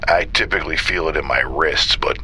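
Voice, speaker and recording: A seasoned, wry guide in a horror radio play, acted by a man, with a very deep voice and a sharp, forceful edge. He sounds casual and dryly reassuring, with faint ambience behind. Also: fairly quick, a little hiss or hum, full character voice. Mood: calm, explaining. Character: seasoned, wry man guiding a nervous partner. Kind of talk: gothic horror audio drama acting.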